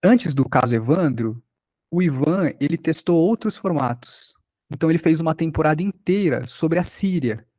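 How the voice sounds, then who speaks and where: A man's voice: fairly high pitch, expressive, moderate pace, darkish tone, dry recording. A man with a Brazilian accent giving an informal online lecture as a workshop instructor.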